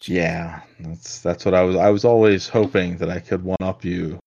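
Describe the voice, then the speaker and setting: A man's voice: sits low, steady tone, normal speed, vocal fry, darkish tone, highs cut off. A man in his forties co-hosting a casual podcast chat, rambling and self-deprecating with frequent laughs. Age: 40s. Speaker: podcast co-host.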